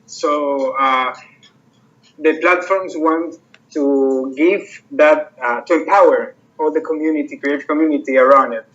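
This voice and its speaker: Man, project owner, interview guest, lots of fillers, slightly high voice, dull lo-fi audio. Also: fairly even intonation, a little slow, a bit bright. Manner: hesitant, many fillers and restarts. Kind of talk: casual interview talk.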